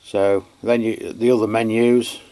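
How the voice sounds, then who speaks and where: An Englishman giving an unscripted product review and demonstration, chatty and matter-of-fact, with a halting delivery. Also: on the low side, little pitch movement, dark tone, a little hiss or hum. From England. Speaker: radio reviewer.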